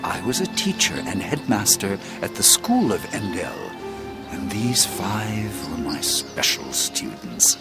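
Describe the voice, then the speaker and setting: A solemn old sage telling a tale in an audio drama. His low voice moves in wide, theatrical sweeps, deliberate and stately. Loud music plays beneath every word. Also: huge pitch swings, gravelly, full character voice. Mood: storytelling. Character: wise old sage storyteller, solemn male former schoolmaster. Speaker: narrator. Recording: loud music bed under the voice.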